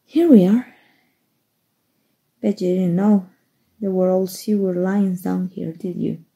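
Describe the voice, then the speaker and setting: A woman plays a kind, folksy rebel rescuer in an ASMR roleplay. The voice is very breathy and husky, dark and soft, with a creaky edge. The talk is hushed and coaxing. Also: fairly low pitch, fairly even intonation, takes it slowly, heavily acted. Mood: guarded, friendly. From Mexico. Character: kind human rescuer, gruff-talking, reassuring undercover rebel.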